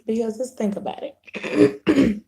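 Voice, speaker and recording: Hoarse woman chatting slowly on a live stream. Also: a little high, mellow. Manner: unhurried, rambling, clears throat, frequent restarts. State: hoarse, losing her voice, sore throat. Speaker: vlogger hosting a live video. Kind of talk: casual live-stream chat.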